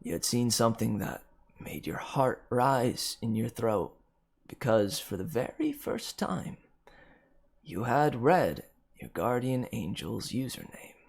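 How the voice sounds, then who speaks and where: A man reading a dark second-person story aloud as scripted narration, with dramatic emphasis that swells and drops. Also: mid-range voice, sing-song, slow, vocal fry, husky. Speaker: amateur story reader.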